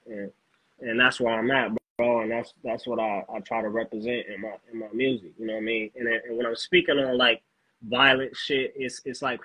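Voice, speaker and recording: A man from Los Angeles, a rapper being interviewed, talking in a laid-back, halting way with lots of fillers. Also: mid-range voice, fairly bright tone, husky, no echo, highs cut off. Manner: laid-back, halting, fills with "you know what I'm saying". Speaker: guest rapper. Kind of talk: casual interview chat.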